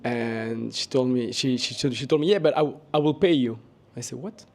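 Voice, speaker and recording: A man's voice: mid-range voice, normal speed, creaky, a little mellow. A man from Belgium in his thirties, a life coach answering questions in a casual outdoor interview, hesitant and full of fillers as he acts out conversations. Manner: hesitant, many fillers, acts out quoted talk. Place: outdoor terrace by a villa. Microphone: handheld mic held by interviewer.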